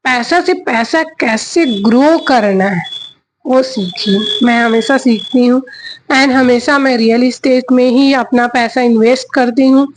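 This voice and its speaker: Slow, high-voiced hesitant woman explaining. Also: a little hiss or hum, rough recording. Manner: hesitant, many restarts and fillers, mixing in English words; asks the audience rhetorical questions. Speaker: vlogger explaining a book. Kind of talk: casual explainer talk.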